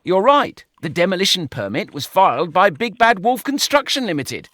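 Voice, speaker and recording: A man's voice: high-pitched, sing-song, moderate pace, fairly bright tone, dry recording, pitched above the performer's own voice. A man voicing a dry, curt male pig financier who is fussy about germs.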